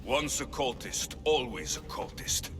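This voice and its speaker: A man's voice: medium pitch, lively intonation, a little slow, over music, close to an everyday voice. A male actor playing a confident, blunt mercenary hero in a video game cutscene, firm and matter-of-fact. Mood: stern.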